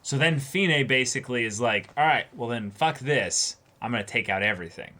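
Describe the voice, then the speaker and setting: Bright-voiced man, emphatic casual co-host. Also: mid-range voice, fairly quick. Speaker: reaction show co-host. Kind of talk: casual anime discussion.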